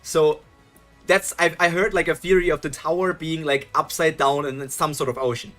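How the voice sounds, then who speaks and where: A man's voice: on the high side, fairly even intonation, normal speed, fairly bright tone, faint music behind. Chatty German man on stream. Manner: chatty, thinks aloud, restarts and chuckles, answers chat. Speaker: streamer reading webtoons live. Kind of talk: live reaction stream, reading chat.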